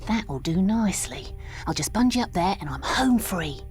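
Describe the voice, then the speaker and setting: A woman's voice: mid-range voice, lively intonation, moderate pace, darkish tone, soft background music, close to an everyday voice. A woman voicing a brash, plucky young English boat captain in a cartoon game, feisty and self-assured. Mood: nervy resolve. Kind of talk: scripted cartoon game acting.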